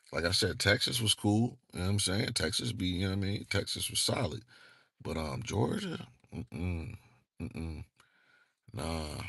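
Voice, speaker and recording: A young man with a very low, gravelly voice talks in a loose, rambling way, circling back to his points with plenty of slang and fillers. It sounds dry and close. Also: bright, forceful tone, no room sound. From northeastern United States. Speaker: channel host. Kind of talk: casual monologue vlog.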